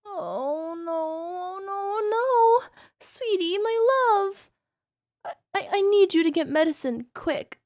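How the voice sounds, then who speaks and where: A woman voicing a possessive young yandere wife who nurses her sick husband, sweetly coaxing with a quiet edge of menace. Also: extremely high pitch, expressive, slow, no echo, studio quality, heavily acted. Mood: panicked. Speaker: voice actress. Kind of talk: ASMR roleplay audio drama.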